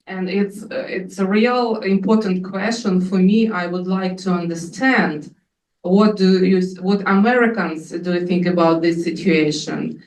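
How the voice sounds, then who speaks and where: A woman from Ukraine with a Ukrainian accent, asking a question from the floor in halting English, often pausing to search for words. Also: lowish voice, fairly even intonation, a little mellow. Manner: hesitant, searching for English words, many fillers. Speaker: audience member asking a question. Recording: echoey room, speaker far from mic.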